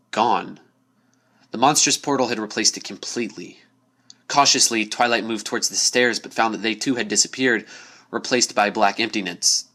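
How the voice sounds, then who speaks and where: A man's voice: on the low side, sharp tone. A man reading a scripted story aloud as narrator, in a dramatic storytelling style.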